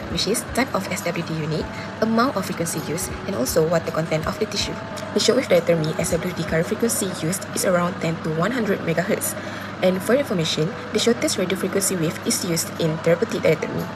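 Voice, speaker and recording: A woman's voice: medium pitch, noisy background. A young woman giving a scripted class presentation as a student.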